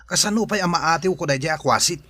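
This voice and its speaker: A man's voice: a little high, fast talker, bright, forceful tone, no echo, studio quality. A man with an Ilocano accent playing a sullen, irritable rejected suitor in an audio drama. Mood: aggrieved.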